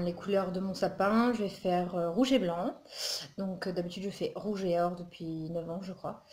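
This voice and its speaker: A woman's voice: on the low side, darkish tone, reverberant, slight background noise. A woman from northern France chatting casually through a holiday shopping haul, rambling with lots of fillers and asides, recorded at home. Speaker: vlogger. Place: home room with Christmas tree behind.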